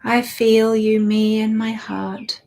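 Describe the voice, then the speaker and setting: A woman guiding a meditation, speaking softly and soothingly and often dropping into a whisper. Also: fairly high pitch, level tone, vocal fry. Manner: slow, soft and soothing, drifting into whispers. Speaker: meditation guide. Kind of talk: guided meditation.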